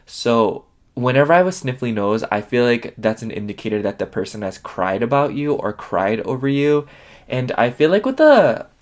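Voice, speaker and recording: A man's voice: mid-range voice, sing-song, moderate pace. A man giving a casual tarot reading, rambling with lots of fillers, his voice sniffly and nasal. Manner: chatty, rambling, heavy on fillers. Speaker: tarot reader.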